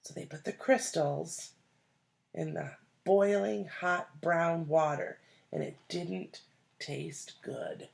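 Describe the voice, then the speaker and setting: A woman reading a children's storybook aloud like a teacher, deliberately, pausing to explain and put questions to young listeners. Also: low voice, creaky, a little mellow. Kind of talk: expressive storybook read-aloud with asides and questions.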